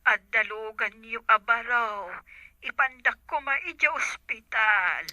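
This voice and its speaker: A woman's voice: a little high, unhurried, pushed and bright, no echo. A woman with an Ilocano accent playing an ailing, pleading mother, heard through a thin telephone line. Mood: weakly pleading. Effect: telephone filter. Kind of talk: Ilocano comedy drama acting.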